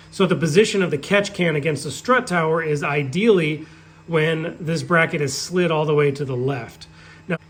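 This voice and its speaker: A man who is sick and has nearly lost his voice, explaining car parts step by step in his workshop. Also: fairly high pitch. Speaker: shop owner showing parts. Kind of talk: casual product update. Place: workshop with a 3D printer running. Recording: faint printer hum behind. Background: faint printer noise.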